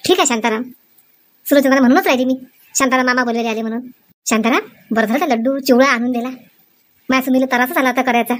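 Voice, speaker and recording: A woman doing a shrill, rapid Varhadi village mother-in-law. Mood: chatty. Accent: Varhadi Marathi. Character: bustling village mother-in-law in a comedy cartoon. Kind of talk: exaggerated cartoon comedy dialogue.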